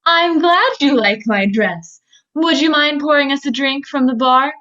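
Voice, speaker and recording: Woman doing coy femme fatale, old radio noir, highish voice, kinda dull lo-fi audio. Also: unhurried, sharp tone. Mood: coy, menacing. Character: sultry, dangerous femme fatale, 1940s noir parody. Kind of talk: 1940s radio acting.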